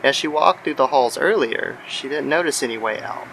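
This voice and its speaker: Guy reading a dark horror story, audio drama narrator, medium pitch, noisy ambience behind him. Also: moderate pace. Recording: clear background noise under the voice.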